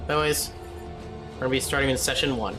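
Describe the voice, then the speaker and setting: A sick, slightly hoarse man rambling through casual commentary on a gaming livestream, full of fillers and sudden outbursts. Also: medium pitch, fairly even intonation, fast talker, over music.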